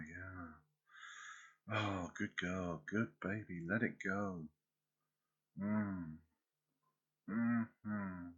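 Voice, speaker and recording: A man performing an intimate audio roleplay, speaking softly and teasingly as if close to the listener's ear. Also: low voice, husky, muffled recording.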